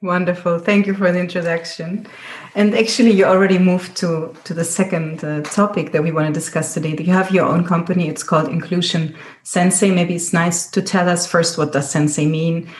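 A woman hosting a podcast, introducing her guest and asking questions in a measured way. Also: fairly low pitch, fairly even intonation. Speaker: podcast host. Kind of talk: interview hosting.

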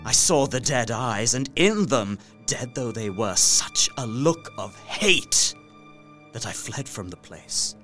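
Gothic audio drama: desperate young Victorian gentleman, mid-pitched, deliberate and emotive journal narration, music underneath. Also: expressive. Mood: hushed dread. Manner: intense, deliberate, emotive delivery with formal diction.

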